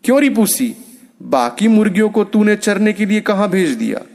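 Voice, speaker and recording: A man's voice: high-pitched, big pitch swings, normal speed, vocal fry, darkish tone, pitched above the performer's own voice, full character voice. A man voicing a fussy old village granny with a fretful, grumbling manner in a storybook tale. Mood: questioning.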